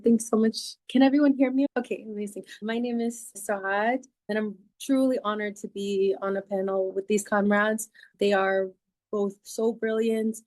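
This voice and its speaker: Sudan-born woman lawyer, effusive and warm, speaking over a video call. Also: medium pitch, steady tone, moderate pace. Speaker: human rights lawyer. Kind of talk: virtual panel introduction.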